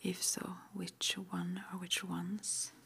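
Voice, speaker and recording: A woman whispering an ASMR roleplay interview, playing a witch school interviewer with gentle, reassuring, hesitant phrasing. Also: on the low side, monotone, slow pace, creaky, slight background noise.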